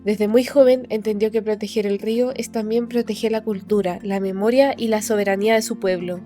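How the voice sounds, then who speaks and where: A young woman hosts a podcast and reads a scripted introduction in an earnest, steady way, posing rhetorical questions. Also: medium pitch, a little fast, soft background music. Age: young adult. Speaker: podcast host. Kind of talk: scripted narration.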